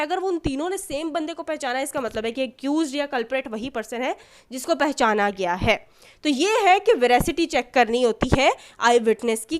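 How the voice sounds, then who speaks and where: A woman with a notably high-pitched voice teaches in an explanatory lecture style. She switches often into English terms while explaining, in the way of an instructor addressing students. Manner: mixes Urdu with English terms. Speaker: law lecturer.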